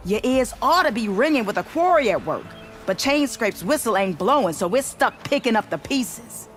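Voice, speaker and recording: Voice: medium pitch, animated, normal speed, a bit bright, soft background music, close to an everyday voice. A performer playing a plainspoken, grateful frontier quarry worker in a video game, with a folksy way of talking. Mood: grateful, weary. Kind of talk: video game dialogue acting.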